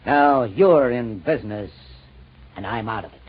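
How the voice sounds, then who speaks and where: Slick, courteous racketeer, male actor, mid-pitched, veiled menace, vintage radio drama, lo-fi. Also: animated, measured pace, over loud music, natural voice. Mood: smooth, firm. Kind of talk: 1940s radio acting. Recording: muffled, noisy old radio broadcast.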